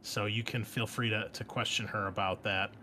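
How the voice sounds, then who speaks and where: A man's voice: lowish voice, fairly even intonation, moderate pace, pushed and bright, a little hiss or hum. A man hosting a tabletop roleplaying game as game master, recapping in a casual, rambling, joking and teasing way. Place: indoors at a desk.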